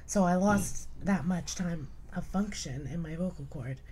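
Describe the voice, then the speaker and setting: A woman speaking in a low, weak voice as a guest in a casual chat, explaining things matter-of-factly and running out of breath quickly. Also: mellow, slight background noise. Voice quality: weak, low voice from a paralyzed vocal cord. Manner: plain, matter-of-fact explaining.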